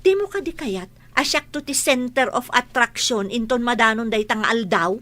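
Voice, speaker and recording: An actress with an Ilocano Filipino accent playing a vain, stubborn, demanding wife in a radio drama. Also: female voice, on the high side, expressive, normal speed, fairly bright tone. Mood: defiant.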